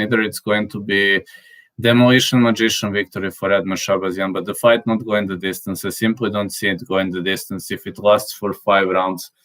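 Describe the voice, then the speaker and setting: A man whose first language is Serbian talks casually through viewer comments at an ordinary middle pitch. He halts often, fills the gaps and restarts his sentences. He spells out words he can't pronounce. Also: fairly bright tone. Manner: halting, with many fillers and restarts. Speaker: channel host. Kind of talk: casual live chat, reading viewer comments.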